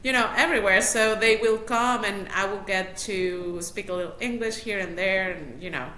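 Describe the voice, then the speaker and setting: A woman's voice: medium pitch, normal speed, sharp tone. A woman from Mexico City with a Mexican Spanish accent, chatting as an interview guest, laughing often and restarting her sentences. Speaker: guest, matchmaker. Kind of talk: casual interview. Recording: echoey room, faint noise.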